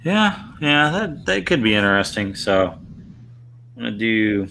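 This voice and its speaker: Bright-toned low American man. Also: big pitch swings, a little slow, creaky, slight background noise. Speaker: podcast co-host. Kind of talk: casual chat.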